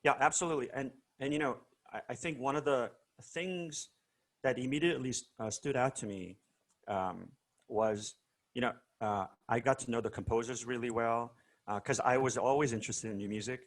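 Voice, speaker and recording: A man's voice: medium pitch, moderate pace. A man from South Korea being interviewed over a video call, telling stories casually with many fillers and restarts. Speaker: guest musician.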